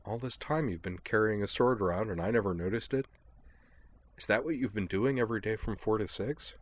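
A man's voice: lowish voice, brisk, lightly acted. A man voicing a wry, self-mocking teenage girl who is ill, in a storybook reading.

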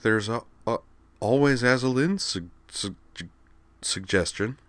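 A man's voice: fairly low pitch, lively intonation, unhurried, no room sound, pitched above the performer's own voice. A man voicing a wry young woman roommate in an audiobook, who stutters heavily on her words. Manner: heavy stutter, dry and wry. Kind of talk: audiobook character voice.

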